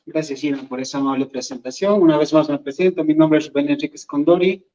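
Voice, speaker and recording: A man's voice: on the high side, mellow. Earnest man. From Peru. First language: Quechua. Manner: earnest, halting, thinks aloud. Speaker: invited speaker, language educator. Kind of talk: public talk at an event. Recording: dull lo-fi event recording.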